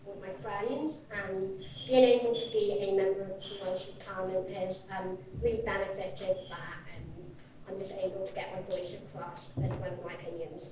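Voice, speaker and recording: A woman's voice: medium pitch, steady tone, normal speed. Lady interpreting live for a panellist, far off mic, big echo, faint noise. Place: echoey event room, indoors. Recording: very echoey, dull, distant, faint noise.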